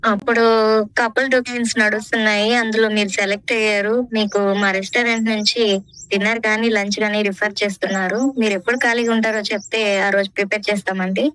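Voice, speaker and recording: Fast-talking, bright-voiced woman on a call. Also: medium pitch, flat delivery, clean tone, rough recording. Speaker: prank caller posing as restaurant staff. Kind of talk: phone call, customer-service patter.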